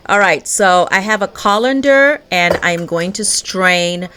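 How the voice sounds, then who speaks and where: A woman's voice: fairly low pitch, lively intonation, unhurried, background noise. Chatty woman baking in her kitchen. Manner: chatty, laughs often. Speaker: vlogger. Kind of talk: casual baking tutorial vlog. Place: home kitchen.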